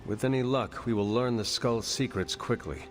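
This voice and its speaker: An actor playing an earnest hero in a video game cutscene, speaking formally and stiffly without contractions. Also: male voice, medium pitch, fairly even intonation, normal speed, faint music behind, lightly acted. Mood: hopeful.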